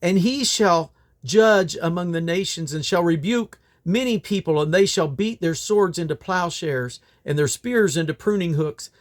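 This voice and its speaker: A man's voice: high voice, moderate pace. A man reading Bible scripture aloud in old King James English, in a solemn, declamatory manner. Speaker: Bible reader.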